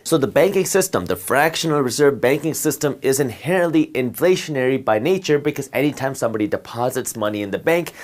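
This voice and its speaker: A man whose first language is Punjabi, giving a step-by-step explanation of banking while drawing a diagram. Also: mid-range voice. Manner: step-by-step explanation with worked examples. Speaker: finance educator.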